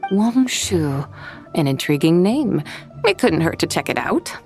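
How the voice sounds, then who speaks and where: A woman's voice: fairly low pitch, big pitch swings, a little slow. A woman voicing a poised, self-assured immortal woman in a video game, speaking in a lofty, formal manner. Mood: calm, pleased. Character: poised, self-assured, mysterious female adeptus, amused and showy. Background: game music.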